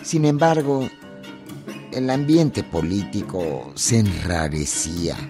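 A man giving measured, expressive scripted narration for a historical radio drama. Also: on the low side.

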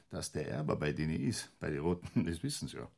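An actor playing a brusque, sarcastic police superior with a Bavarian accent in a German radio crime drama. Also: male voice, a bass voice, sing-song, moderate pace, vocal fry, full character voice. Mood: dismissive, commanding. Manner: brusque, condescending, commanding.

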